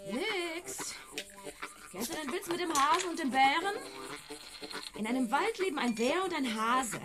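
A sardonic, fed-up wife. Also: female voice, high voice, expressive, unhurried, music in the background. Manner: dry, mocking joke-telling. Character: sardonic, fed-up woman mocking her bossy man. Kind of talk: radio play acting.

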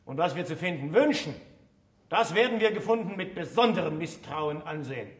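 A passionate man of science played by a male stage actor speaks in a fairly high voice with theatrical weight. He is stubborn and questioning, setting out each phrase deliberately and emphatically. The radio play recording has a clearly reverberant room around him. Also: animated, natural voice. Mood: fervent resolve.

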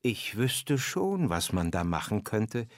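A man voicing a lively, insistent storybook hare. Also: on the low side, slow, no room sound, studio quality. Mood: insistent, keen. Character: big talking hare, hurried, persuasive Easter egg delivery helper. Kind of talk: storybook character reading.

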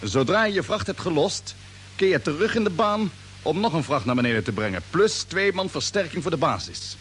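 An authoritative space fleet captain in an old radio play, with a somewhat high, very animated voice. He hands out clipped orders over a faint hum. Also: brisk. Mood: commanding, brisk. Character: brisk, confident male space fleet captain. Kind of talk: radio drama acting. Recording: old radio play, humming background noise.

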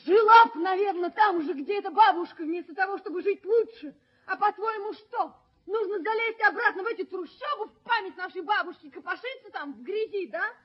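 A woman's voice: very high-pitched, a little fast. An actress playing a proud, willful, spoiled young daughter of a rich man in a radio play. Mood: defiant, disdainful. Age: young adult. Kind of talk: Soviet radio play acting. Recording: dull 1970s radio drama recording.

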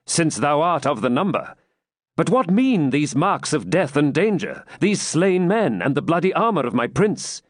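A man's voice: on the high side, very animated, moderate pace, no room sound, studio quality, pitched above the performer's own voice. A man voicing a loyal, anxious young knight in a dramatic storybook reading, earnest and formal in his archaic speech. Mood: concerned.

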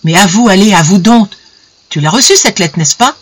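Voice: mid-range voice, very animated, moderate pace, fairly bright tone, husky, full character voice. A narrator voicing a jealous, enraged husband in a story, hectoring and stammering as he accuses and repeats himself. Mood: hateful, accusing. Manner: hectoring, stammering, insistent repetition.

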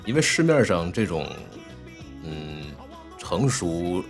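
A man's voice: deep voice, sing-song, slow pace. A man who works as a voice actor chatting casually as a guest, often hesitating and repeating his words. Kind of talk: casual panel chat. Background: background music.

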